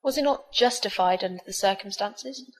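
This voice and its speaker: An actress playing a polite, curious young squire in an audio drama. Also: female voice, mid-range voice, moderate pace, lightly acted, low-quality recording. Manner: polite, inquisitive.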